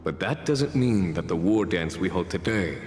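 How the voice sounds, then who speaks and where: Game commander: low, assured, composed strategist, long reverb. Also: male voice, animated, a little mellow. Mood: calm explaining. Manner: measured, assured, formal. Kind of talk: video game cutscene dialogue. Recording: echoey hall, faint music.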